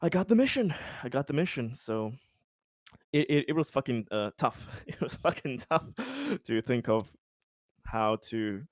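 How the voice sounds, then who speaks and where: A man's voice: mid-range voice, lively intonation, unhurried, dry recording, clean studio sound. A man playing a tabletop RPG, casually recapping his character's schemes in a rambling, sweary, self-amused way.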